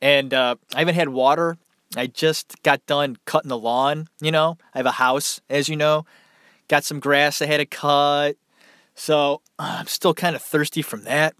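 A man chats through a long story for his podcast, rambling and loose, with plenty of filler words. His voice sits a little high and sounds dry and worn from talking. The sound is close and dead, with no room echo. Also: steady tone, normal speed, fairly bright tone, clean tone. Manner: rambling, conversational, many fillers. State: dry mouth, tiring voice. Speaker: podcast host. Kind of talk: casual solo storytelling. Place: small room at home.

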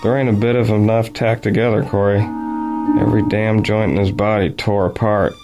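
A man with an American accent playing a grim, grief-stricken huntsman in a radio play, his voice quiet and choked. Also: fairly low pitch, little pitch movement, a little fast, over loud music, close to an everyday voice, poor audio.

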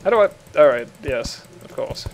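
A man's voice: medium pitch, lively intonation, normal speed, creaky. A man with an English accent chatting and joking while playing a game, often giggling and rambling. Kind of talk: casual gaming chat.

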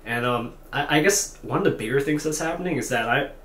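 A man's voice: medium pitch, brisk, fairly bright tone. A tired man in his twenties talking unscripted to a camera in a small hotel room, rambling and self-deprecating with lots of fillers and restarts. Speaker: vlogger. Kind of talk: unscripted talking-head vlog. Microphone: camera at desk distance. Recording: echoey room with faint ambience.

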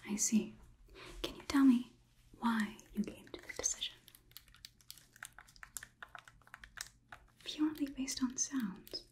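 A young woman performing an ASMR roleplay as a calm robot overlord, whispering in a measured, inquisitive, slightly condescending manner. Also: on the high side, natural voice. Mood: quietly probing. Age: young adult. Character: calm, clinical robot overlord examining a human. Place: small indoor room.

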